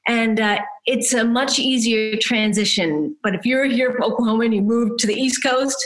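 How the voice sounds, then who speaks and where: A woman in her fifties from New Hampshire, a photographer chatting as an interview guest, talkative and quick to laugh. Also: fairly high pitch, poor audio. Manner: chatty, laughs often, lively quoted voices. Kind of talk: casual interview chat.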